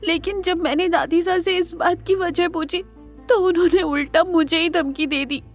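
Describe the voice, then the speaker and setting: A high-voiced, wronged young wife. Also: female voice, fairly even intonation, normal speed, faint music behind. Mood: hurt, indignant. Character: wronged young wife, a designer, hurt and proud. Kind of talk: Hindi audio drama acting.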